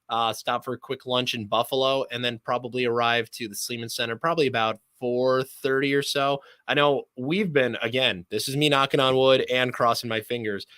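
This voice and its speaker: A man's voice: medium pitch, sharp tone, no echo. A man speaking as a sports announcer guest in a conversational podcast interview, talkative and emphatic.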